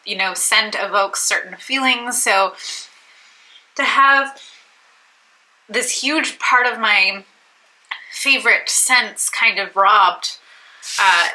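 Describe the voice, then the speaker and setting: A woman's voice: mid-range voice, slow pace, pauses often, sharp tone, poor audio. A young woman vlogging about beauty products in a casual, rambling, self-deprecating way, sounding stuffy and congested. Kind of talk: casual chat to camera.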